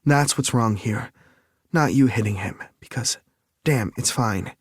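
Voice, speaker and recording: Picture an upset, self-doubting young woman, her words coming out heated and emphatic, as a male narrator puts her on. The voice sits noticeably higher than a low, husky baseline. It is recorded close and dry, with no room sound. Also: unhurried, no room sound. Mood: upset, self-critical. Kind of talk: audiobook character dialogue.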